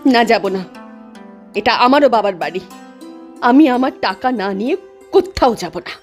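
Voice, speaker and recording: A woman's voice: a little high, expressive, moderate pace, soft background music, close to an everyday voice. A woman voicing a desperate, headstrong younger sister in a cartoon with earnest, dramatic delivery. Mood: defiant.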